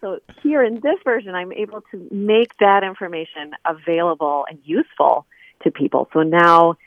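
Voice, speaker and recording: A woman's slightly low voice comes through a narrow, dry telephone line. She is a guest in a radio interview, explaining in a conversational way and reaching for examples as she goes. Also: moderate pace, dry recording. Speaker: interview guest, editor and scholar. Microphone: phone.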